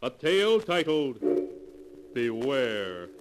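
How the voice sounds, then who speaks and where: A man narrating and announcing an old radio mystery show in a measured, dramatic manner. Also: mid-range voice, big pitch swings, very noisy.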